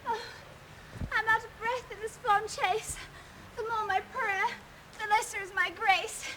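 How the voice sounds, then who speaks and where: A woman's voice: extremely high pitch, fairly even intonation, a little slow, bright, forceful tone, a little hiss or hum, full character voice, poor audio. A woman playing a spurned young woman, pleading in tearful Elizabethan verse.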